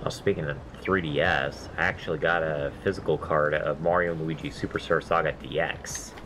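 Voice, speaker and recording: Low-voiced nasal guy doing a let's play, rambly and self-deprecating, noisy hiss behind him. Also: steady tone, brisk. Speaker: gameplay commentator. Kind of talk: casual let's-play chat.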